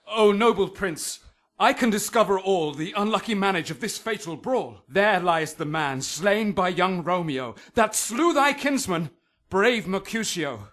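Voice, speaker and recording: A man as a high-voiced, anxious young peacemaker. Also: expressive, unhurried, a bit bright. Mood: earnest reporting. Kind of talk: Shakespearean radio drama acting.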